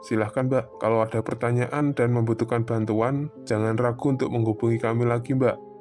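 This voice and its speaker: A man playing a calm, formal doctor who explains gently and reassuringly in an animated drama. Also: medium pitch, brisk, close to an everyday voice. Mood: calm, sympathetic. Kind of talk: animated horror drama dialogue. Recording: voice over prominent background music.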